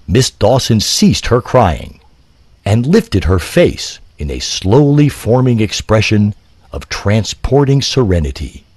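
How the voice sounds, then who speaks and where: Male storyteller: husky, animated, ornate theatrical narration. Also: fairly low pitch, dry recording. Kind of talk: dramatic scripted story narration.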